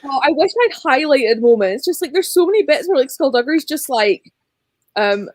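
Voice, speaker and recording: A woman with a moderately high voice chats about books as a guest. Her talk is loose and rambling, full of quoted bits. The recording is close and dry. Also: expressive, moderate pace, darkish tone, dry recording. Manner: rambling, informal, frequent quoting. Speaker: guest on a book readalong livestream. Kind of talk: casual group book chat.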